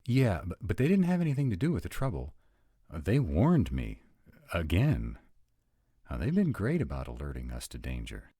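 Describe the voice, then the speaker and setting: A man's voice: rumbling low, big pitch swings, unhurried, a little mellow, husky, no room sound, clean studio sound, heavily acted. A man playing an earnest, awkward young vampire in a roleplay audio drama. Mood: nervous.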